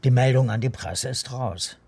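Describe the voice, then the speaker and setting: A man acting a gruff, brooding police investigator with a gravelly, raspy voice in a radio crime drama. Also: mid-range voice, moderate pace, darkish tone. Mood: brooding, questioning.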